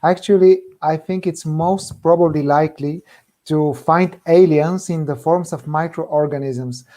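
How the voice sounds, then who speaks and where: A young man with a Bulgarian accent, an energetic and earnest guest scientist explaining things emphatically in an online Q&A. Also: a little high, unhurried. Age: young adult. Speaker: guest physicist, university assistant professor.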